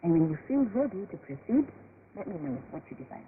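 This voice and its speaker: An actress playing a calm, patient female doctor in an educational radio drama, explaining things in a measured way. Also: on the low side. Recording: noisy shortwave broadcast, tinny band.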